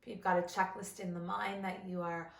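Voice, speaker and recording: A woman leading a guided body scan meditation as an instructor, gentle and measured, with long pauses between phrases. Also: on the low side, level tone, vocal fry, roomy sound.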